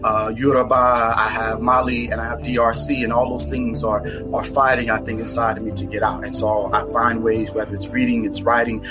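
An American man being interviewed about his book, speaking in an earnest, reflective and halting way. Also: medium pitch, level tone. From United States. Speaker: author guest. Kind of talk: podcast interview. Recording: music playing underneath.

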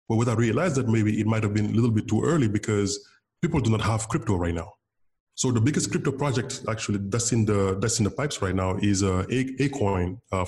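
Man, Wolof speaker, rapid delivery, earnest interview guest. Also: lowish voice, a little mellow. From Senegal. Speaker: guest developer. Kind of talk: casual interview answers.

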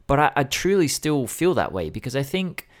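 A man's voice: mid-range voice, sing-song, moderate pace. A man co-hosting a music podcast, reviewing an album in an earnest, analytical and enthusiastic way. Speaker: podcast co-host.

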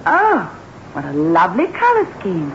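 A woman's voice: lowish voice, huge pitch swings, normal speed. On a muffled, noisy old radio recording, an affectionate but jumpy wife. Her voice rises and falls expressively in 1960s radio drama style, with an apprehensive manner. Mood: fond, relieved. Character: affectionate, nervous young wife, easily spooked.

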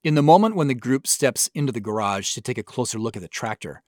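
A man's voice: mid-range voice, expressive, quick pace, darkish tone, no room sound. Dry-sounding American man explaining sound design. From Spokane Valley, Washington, United States. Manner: explanatory, conversational. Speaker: show creator and sound designer. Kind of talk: director's commentary narration.

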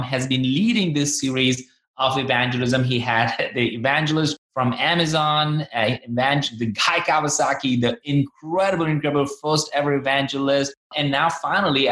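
A man's voice: fairly high pitch, fairly bright tone. Man in an echoey room, chatting informally. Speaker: podcast host being interviewed as a guest on own show. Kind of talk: casual conversational interview. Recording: roomy, echoey sound.

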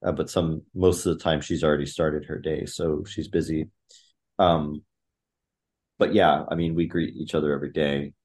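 Deep-voiced man musing with many restarts. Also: steady tone, a little mellow. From Thailand. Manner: reflective, halting with many restarts and fillers. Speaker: podcast guest. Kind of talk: conversational interview.

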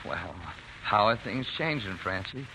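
An actor playing a gentle, weary father with a halting way of talking in a 1940s radio drama. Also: lowish voice, lively intonation, full character voice. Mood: weary, subdued. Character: gentle, weary, ailing Irish-American father of a girl. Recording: noisy old 1940s radio broadcast.

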